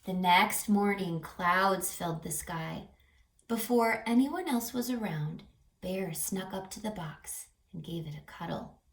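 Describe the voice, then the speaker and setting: A woman reading a picture book aloud for children, animated, pausing to ask questions and dropping to hushed tones. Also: on the low side. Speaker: read-aloud storyteller for children. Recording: roomy echo, distant mic, music behind.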